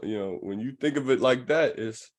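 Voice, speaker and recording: A man's voice: mid-range voice, brisk. A man chatting casually as a podcast host, in a reflective, slangy way. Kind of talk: casual chat.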